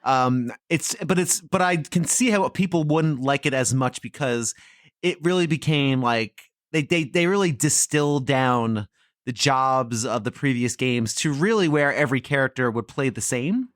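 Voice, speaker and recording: A man in his forties hosting a podcast, chatting casually and animatedly, quick to laugh. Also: medium pitch, no room sound, studio quality. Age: 40s. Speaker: podcast host.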